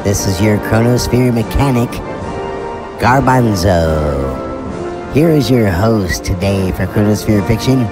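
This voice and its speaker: A man's voice: fairly low pitch, animated, unhurried. A man playing an easygoing, folksy spaceship mechanic who hosts a fiction podcast in a playful, leisurely manner. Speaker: show host. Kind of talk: in-character podcast introduction. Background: music.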